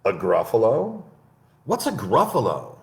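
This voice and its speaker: A man's voice: mid-range voice, huge pitch swings, measured pace, creaky, full character voice. A man doing a sly hungry storybook fox. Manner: coaxing storybook voice. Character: sly hungry male fox, smooth-talking. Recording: echoey room.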